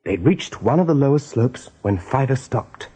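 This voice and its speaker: A serious storyteller narrates a radio play in a measured way. His voice is mid-pitched, dark and somewhat breathy. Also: animated, rough recording. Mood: hushed, measured. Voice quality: husky, mellow. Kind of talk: scripted radio drama narration.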